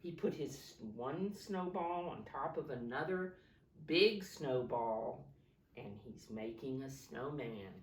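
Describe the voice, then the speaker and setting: Woman, preschool storytime teacher, animated intonation, simple instructive phrasing, echoey room, distant mic. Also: very deep voice, slow, a little hiss or hum. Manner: simple, instructive, addressing children with questions and prompts. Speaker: preschool teacher reading a picture book aloud. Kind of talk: storytime talk to young children. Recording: roomy echo, distant microphone.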